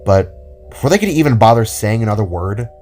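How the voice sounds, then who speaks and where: Male narrator, low register, scripted storytelling, music bed underneath. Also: big pitch swings, a little fast, darkish tone. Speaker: narrator of an audio drama.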